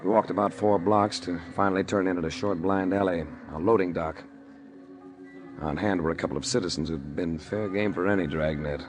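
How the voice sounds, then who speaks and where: An actor playing a tough, wisecracking private detective who narrates in a dry, hard-boiled 1940s radio style. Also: male voice, deep voice, fairly even intonation, fairly quick, soft background music, close to an everyday voice. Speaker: narrator and lead character. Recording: hissy old radio broadcast.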